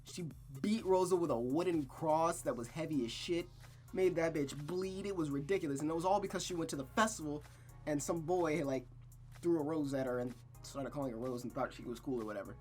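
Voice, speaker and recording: A man's voice: fairly high pitch, brisk, darkish tone, faint music behind. A man streaming a visual novel, moving between narrated reading and casual, slangy, emphatic talk. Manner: slangy, exclamatory, emphatic repetition. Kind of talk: narrated reading and casual, profane recap chat.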